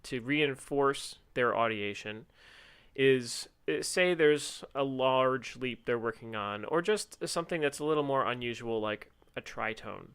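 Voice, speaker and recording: A man's voice: mid-range voice, a little slow, a bit bright, clear, resonant tone. A man co-hosting a podcast in casual chat about music teaching, playful and jokey. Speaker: podcast co-host.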